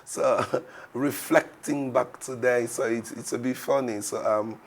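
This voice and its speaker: Slow-talking, reflective man. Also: medium pitch, fairly even intonation. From Congo. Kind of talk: reflective interview.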